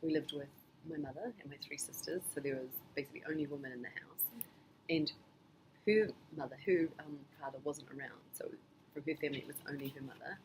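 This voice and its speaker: A middle-aged woman from New Zealand, answering her child's interview questions in a thoughtful, measured way with frequent pauses and ums. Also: sits low, a little hiss or hum, low-quality recording. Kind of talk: casual family interview.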